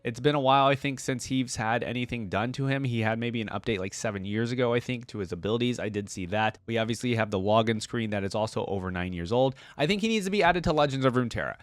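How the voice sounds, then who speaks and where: A man's voice: mid-range voice, fast talker, hardly any pauses, no echo. A man talking casually to his viewers as a reaction host, in rambling, conversational unscripted commentary. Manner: rambling, conversational, frequent "like" and "right?".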